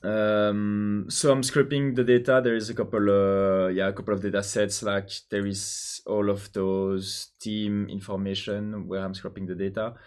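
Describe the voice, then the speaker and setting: A young man from Belgium with a French accent, thinking out loud while coding, hesitant with many pauses and restarts. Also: on the low side, little pitch movement, smooth. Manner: hesitant, many ums and restarts, answers chat questions. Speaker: programmer live-streaming his coding.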